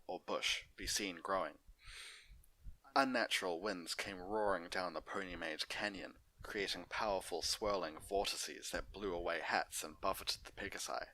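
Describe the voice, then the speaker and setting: A man's voice: low-pitched, sharp tone, low-quality recording. A man hosting and reading fan fiction aloud as an amateur narrator. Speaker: host and story reader.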